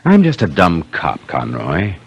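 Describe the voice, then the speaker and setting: An American actor playing a dogged police inspector in a 1950s radio detective drama, clipped, probing and dryly skeptical. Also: male voice, sits low, wildly swooping pitch, unhurried, husky, highs cut off, exaggerated voice. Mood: brisk, commanding.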